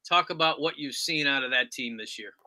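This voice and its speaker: A man's voice: medium pitch, fairly quick, sharp tone. A man hosting a sports talk podcast and putting questions to his guest. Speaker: podcast host.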